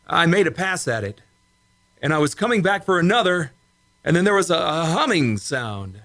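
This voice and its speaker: A man acting in an old-time radio drama as a shaken, insistent rocket test pilot, speaking urgently and haltingly. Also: fairly high pitch, sing-song, fairly bright tone, dry recording. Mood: breathless, urgent.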